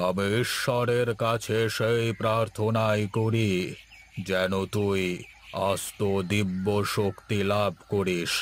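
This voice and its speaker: A man voicing a solemn, commanding ascetic guru in a Bengali cartoon, speaking like someone reciting incantations, with faint noise in the recording. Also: lowish voice. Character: solemn ascetic holy man, male guru chanting mantras.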